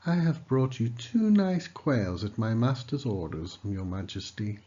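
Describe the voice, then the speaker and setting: A man's voice: fairly low pitch, sing-song, a little slow, mellow, highs cut off, pitched above the performer's own voice. A man voicing a clever talking cat in a children's storybook, eager and courtly, with coaxing, deferential persuasion. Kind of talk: children's storybook character reading.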